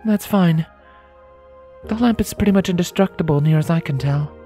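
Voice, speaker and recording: A woman voicing a composed, protective female pony traveler in a storybook reading, polite but firm and measured. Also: on the low side, warm tone, soft background music, pitched above the performer's own voice, lightly acted. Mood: caring, assured.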